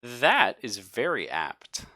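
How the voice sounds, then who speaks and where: A man running a horror tabletop game as game master, narrating in deliberate, vivid detail and chatting casually with players. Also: medium pitch, huge pitch swings, pushed and bright, dry recording, clean studio sound. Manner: slow, deliberate, vivid descriptive storytelling with pauses.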